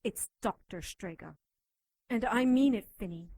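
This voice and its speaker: A woman's voice: medium pitch, a little slow, darkish tone. Female occult investigator for radio drama: mid-range, sardonic, bossy, no-nonsense. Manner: commanding, sarcastic, threatening. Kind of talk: audio drama acting.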